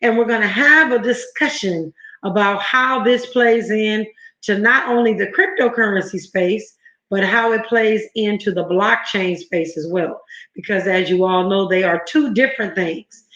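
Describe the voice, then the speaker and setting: A woman's voice: medium pitch, a little slow, a bit bright. A woman hosting an interview show, chatty and enthusiastic, wandering into rambling asides. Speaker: host. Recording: echoey room, dull lo-fi audio.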